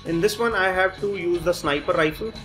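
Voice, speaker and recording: A man with a fairly high voice delivers a product review. He explains each point methodically as he demonstrates. Soft guitar music plays behind him. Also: a little fast. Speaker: tech reviewer, host. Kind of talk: phone review commentary over demonstration.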